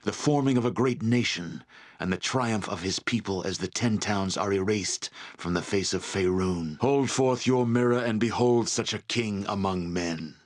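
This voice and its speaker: An actor playing a proud, domineering barbarian chieftain with a dragon's spirit in a video game, speaking with gravelly, imperious contempt. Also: male voice, fairly low pitch, normal speed, lightly acted. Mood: scornful, commanding. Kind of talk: dramatic video game voice acting.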